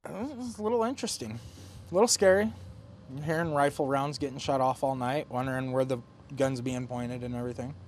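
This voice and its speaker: A man's voice: medium pitch, brisk, darkish tone, slight background noise. A man with an American accent, a local resident talking casually in a news soundbite.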